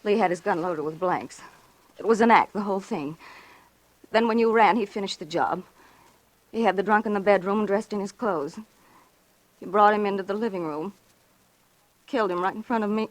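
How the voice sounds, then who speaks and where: A woman's voice: medium pitch, normal speed, pauses often, dry recording, close to an everyday voice, rough recording. An actress playing a frightened, guilty woman in an anxious, urgent 1940s radio melodrama style. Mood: bitter, confessing. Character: frightened, guilty woman confessing her brother's scheme.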